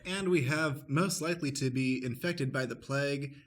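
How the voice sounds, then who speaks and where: Man, middle pitch, podcast host, announcer-like introduction, explanatory delivery. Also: normal speed, fairly bright tone. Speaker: podcast host and stage manager. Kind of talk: scripted-sounding show introduction, addressing listeners.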